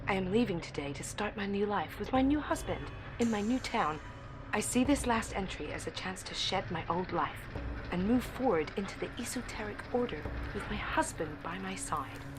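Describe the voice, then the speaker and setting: An actress playing a besotted young bride drawn into a cult, delivering an intense, breathy diary monologue in an audio drama. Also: female voice, mid-range voice, animated, normal speed, over music, close to an everyday voice, rough recording. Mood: fervent anticipation.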